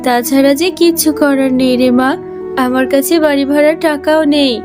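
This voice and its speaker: A gentle jackal mother from a children's cartoon, acted by a woman. She speaks in a high, earnest, pleading voice full of tenderness. Music runs behind her. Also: fairly even intonation, fairly quick, lightly acted. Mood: tender, worried. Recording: music under the voice.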